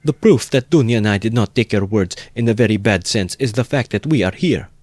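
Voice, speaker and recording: A man's voice: on the low side, brisk, darkish tone, no echo, pitched above the performer's own voice, close to an everyday voice. A man voicing a timid, faltering older mother who stammers and then finds her dignity. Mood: flustered.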